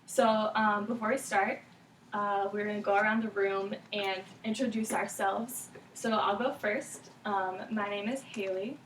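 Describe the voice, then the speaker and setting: A woman in her twenties moderating a student focus group, with many pauses and ums. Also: mid-range voice, fairly even intonation, a bit bright, a little hiss or hum. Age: 20s. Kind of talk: scripted moderating, reading rules. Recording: echoey room, far from the mic.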